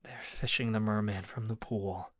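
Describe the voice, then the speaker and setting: A man voicing a wary young male prisoner who talks in hushed, urgent whispers in a storybook reading. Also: fairly low pitch, fairly even intonation, moderate pace, dry recording, studio quality. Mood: worried.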